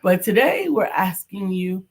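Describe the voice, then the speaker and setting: A woman's voice: mid-range voice, expressive, a little slow, breathy edge. A woman co-hosting a casual live-stream chat, practical and offering home remedies. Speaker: co-host and coach.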